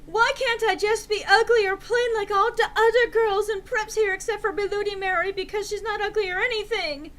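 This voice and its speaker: Woman acting a shrill, whiny goth teen girl. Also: steady tone, fairly quick, few pauses, a bit bright. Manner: overwrought, whiny, exclaiming. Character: Enoby, vain melodramatic goth teenage girl, whiny and angry.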